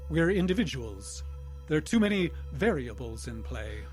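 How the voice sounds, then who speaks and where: A man's voice: fairly high pitch, sing-song, normal speed, soft background music. Defiant older captive grandfather. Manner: terse, defiant. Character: stubborn, defiant grandfather held captive by aliens. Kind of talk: audio drama acting.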